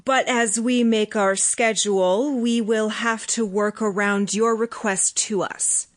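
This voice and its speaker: A woman acts out a cold being from another world inhabiting a human body. The delivery is flat and halting, with formal, imperious phrasing at a medium pitch, in audiobook storytelling style. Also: natural voice. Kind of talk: audiobook character acting.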